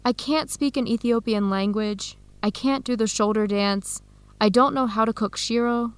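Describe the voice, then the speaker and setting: Actress doing earnest young woman for educational radio play, expressive, slightly high voice, no room sound. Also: normal speed, clean tone, dry recording, lightly acted. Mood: discouraged. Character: Helen, young American woman, earnest and open. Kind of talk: scripted educational radio drama.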